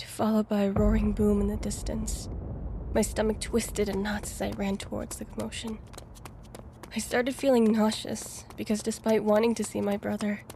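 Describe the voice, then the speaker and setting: A woman playing an earnest, anxious young heroine, narrating her own story in a measured way for an audio drama. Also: medium pitch, gravelly, mellow, slight background noise, lightly acted. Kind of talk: scripted first-person audio drama narration.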